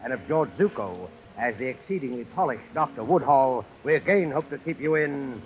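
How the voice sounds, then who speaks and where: A man's voice: medium pitch, lively intonation, moderate pace. Dull-sounding vintage male radio announcer. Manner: formal, dramatic. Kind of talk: 1940s scripted radio announcing. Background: music.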